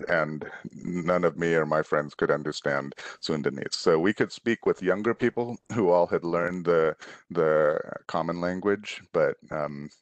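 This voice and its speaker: A middle-aged man from Indonesia, an author on a video call, answering children's questions in a relaxed, rambling way with many restarts. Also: very deep voice, no room sound, muffled recording. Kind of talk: casual conversational answers. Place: room at home, on a video call. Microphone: headset.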